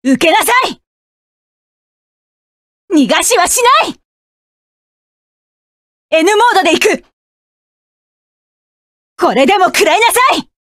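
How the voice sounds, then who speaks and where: A woman's voice: very high-pitched, no room sound, studio quality, full character voice. An actress voicing a fierce, cold young female mecha pilot in a game, giving curt, commanding battle lines. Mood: combat. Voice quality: sharp, commanding.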